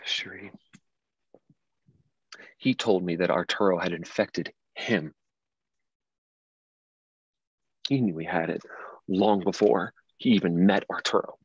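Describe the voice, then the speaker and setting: A man acting a distraught man in his late thirties, low in pitch, wounded and indignant. The dramatic reading is recorded in an echoey room with slightly dull sound. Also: unhurried, pauses often, husky, heavily acted. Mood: bewildered, hurt. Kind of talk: dramatic script table reading.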